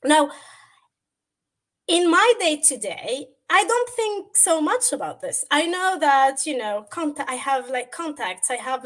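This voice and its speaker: A woman's voice: high-pitched, fairly bright tone. A woman whose first language is Arabic, explaining a tech topic on a livestream in a conversational way, with everyday examples and a lot of fillers. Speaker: guest presenter.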